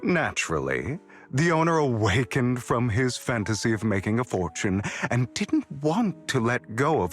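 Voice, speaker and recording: An actor voicing a suave, sly gentleman rogue in a video game, speaking with polished, teasing courtesy and coy pauses. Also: medium pitch. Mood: sly, knowing. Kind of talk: video game character dialogue. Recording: music under the voice.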